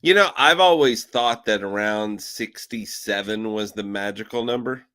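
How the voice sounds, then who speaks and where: A man hosting a casual overlanding talk show, joking and energetic, circling back and repeating himself as he puts questions to his co-host. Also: fairly low pitch, normal speed, clear, resonant tone, no room sound, studio quality. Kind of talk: casual podcast chat.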